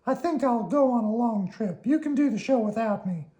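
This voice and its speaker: A woman's voice: on the high side, expressive, mellow, voice pushed up, lightly acted. A woman voicing a small, eager male worm in a children's storybook, exclaiming and stretching out words, easily spooked. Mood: hurt. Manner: exclaiming, wide-eyed, drawn-out words.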